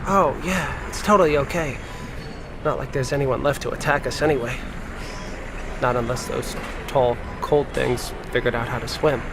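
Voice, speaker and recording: A man's voice: medium pitch, normal speed, background noise, natural voice. A man playing a wry, world-weary boat crewman in an audio drama, folksy and matter-of-fact. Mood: sardonic.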